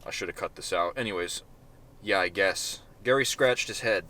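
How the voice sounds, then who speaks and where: A man reading his own short story aloud in a deadpan manner, with self-mocking asides. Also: deep voice, moderate pace.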